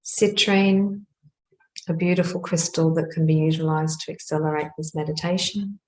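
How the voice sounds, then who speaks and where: A woman guiding a meditation in measured, hushed phrases with long pauses between them. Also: low-pitched, fairly even intonation. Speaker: meditation guide. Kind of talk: guided meditation narration. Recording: roomy, echoing sound.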